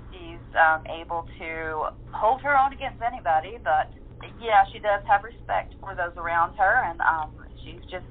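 A woman guest in a casual interview, talking informally and pausing to find her words. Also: fairly low pitch. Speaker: amateur voice actor, interview guest. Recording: muffled, tinny phone line.

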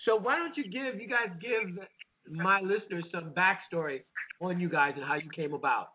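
A man from Washington, D.C., hosting a casual radio interview, chatty and quick with interjections. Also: high-pitched. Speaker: radio host. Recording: noisy phone-like line.

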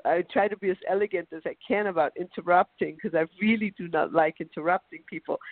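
Slow-spoken woman on a phone line. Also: on the low side, dry recording. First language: German. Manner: slow, deliberate speech. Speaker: radio show host. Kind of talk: conversational interview. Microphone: phone call.

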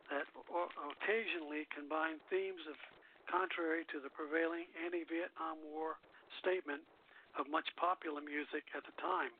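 A man's voice: on the high side, a little hiss or hum. A man hosting a radio show, reading scripted introductions and narration. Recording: phone-like band-limited call-in line.

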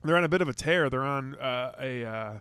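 A man's voice: medium pitch, fairly quick, fairly bright tone, smooth, no room sound. A man hosting a sports podcast in casual chat, rambling with lots of restarts and the odd swear word. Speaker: podcast host.

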